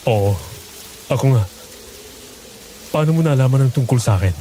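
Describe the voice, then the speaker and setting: Man acting a mellow-voiced, desperate young man. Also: mid-range voice, animated, moderate pace, a little hiss or hum, close to an everyday voice. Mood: earnest. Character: earnest, desperate young man seeking his sister. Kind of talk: audio horror drama acting.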